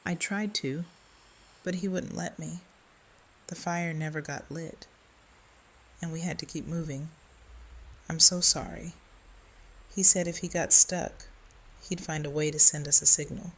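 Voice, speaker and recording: A woman's voice: deep voice, fairly even intonation, measured pace, pauses often, a bit bright, low-quality recording. A woman playing a grave, earnest survivor of a zombie apocalypse in an audio drama. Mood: sombre, urgent. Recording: clear background noise.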